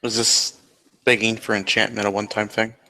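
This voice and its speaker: A man acting as a grave fae changeling in a roleplay game. His voice sits low and stays very even, with a deliberate, serious delivery that is measured from phrase to phrase. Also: low-quality recording. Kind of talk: improvised roleplay dialogue.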